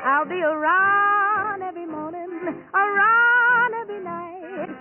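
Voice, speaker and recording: Slow, high-voiced woman ballad singer. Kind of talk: 1940s radio band ballad singing. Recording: tinny old radio recording. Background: band music.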